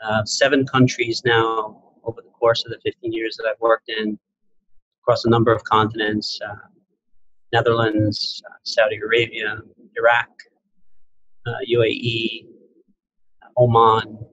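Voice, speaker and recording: A man in his forties talks through his story on a video call, with a husky, slightly breathy voice. He speaks in an even, fairly flat way, rambling easily and filling pauses with "uh". The sound is dull and lo-fi, the way a laptop mic records it. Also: lowish voice, no echo. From Syria, raised in New York and New Jersey. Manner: relaxed, rambling, many "uh" fillers and restarts. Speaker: guest, real estate investor. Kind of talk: casual interview on a video call. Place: indoor room, on a video call.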